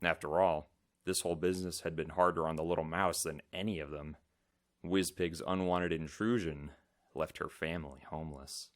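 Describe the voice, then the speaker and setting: A man's voice: extremely low pitch, steady tone, normal speed. A man narrating a story in a dramatic storybook style.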